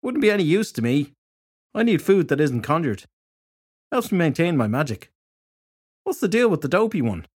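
A man's voice: fairly high pitch, very animated, normal speed, long pauses, breathy edge, pitched above the performer's own voice. A man voicing a brusque, sardonic male fairy detective in an audiobook story, with a casual way of talking. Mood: matter-of-fact.

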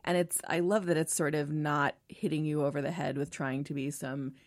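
A woman interviewer with a gravelly voice, chatting enthusiastically with a guest. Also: sits low, flat delivery, moderate pace, dry recording, clean studio sound. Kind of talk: conversational interview.

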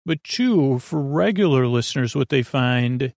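A man with a creaky voice hosting a sleep podcast, giving a soothing, rambling monologue that hesitates and drifts into tangents. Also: medium pitch, expressive, unhurried, darkish tone, no echo, studio quality. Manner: meandering, hesitant, trailing off into tangents. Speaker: podcast host.

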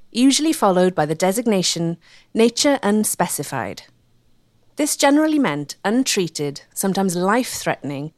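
A woman reading scripted documentary narration as a measured storyteller with a wry edge. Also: medium pitch, dry recording, clean studio sound.

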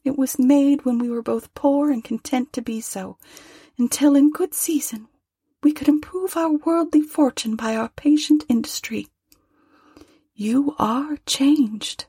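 A woman voicing a young woman who speaks softly and sorrowfully, gentle but firm, close to tears. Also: high voice, measured pace, no room sound, pitched above the performer's own voice. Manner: soft, tearful, pleading.

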